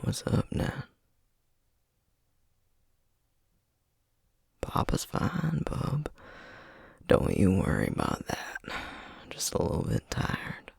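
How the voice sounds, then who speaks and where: A man playing a gentle, burnt-out Southern sorcerer and single dad in an intimate ASMR roleplay, speaking in a husky half-whisper with a Southern US accent. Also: sits low, huge pitch swings, dry recording, heavily acted. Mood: tender, tired. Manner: slow, weary, tender, hesitant. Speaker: audio roleplay voice actor.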